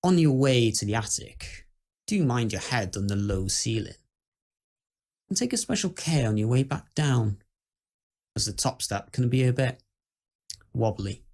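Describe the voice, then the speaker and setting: A British man playing a courteous, solemn tour guide in an audio ghost story, explaining things in a measured, dryly ominous way. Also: mid-range voice, very animated, a little mellow. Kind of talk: scripted ghost story monologue.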